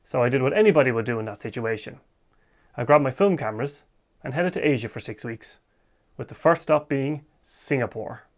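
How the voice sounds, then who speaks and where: A man's voice: medium pitch, lively intonation, a little fast, no room sound, clean studio sound. An Irish man from Ireland narrating his travel vlog in a casual, wry, self-deprecating way, with the occasional swear. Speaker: vlogger and film photographer.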